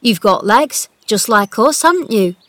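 A woman's voice: a little high, animated, unhurried, a bit bright, dry recording. A woman voicing a kindly, confident country boy in a storybook, speaking in an earnest, emphatic and reassuring way.

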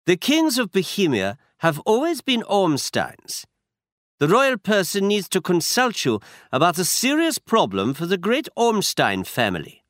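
A man's voice: high-pitched, huge pitch swings, unhurried, a bit bright, dry recording, studio quality, pitched above the performer's own voice, heavily acted. A man reading a story aloud and voicing a large, masked, anxious foreign nobleman who speaks with a German accent in a stiff, formal manner.

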